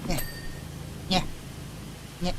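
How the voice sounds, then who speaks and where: A man streaming as a VTuber, chatting casually while he plays, his voice a little raspy and tired from days of voice work, full of loose asides and restarts. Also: extremely slow, background noise. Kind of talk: casual rambling while gaming.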